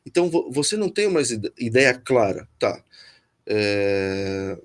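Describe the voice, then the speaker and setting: A man's voice: mid-range voice, very animated. A man from São Paulo city with a Paulistano Brazilian Portuguese accent, a guest in a political interview, thinking aloud and often restarting sentences. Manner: thoughtful, restarts often, pauses to find words.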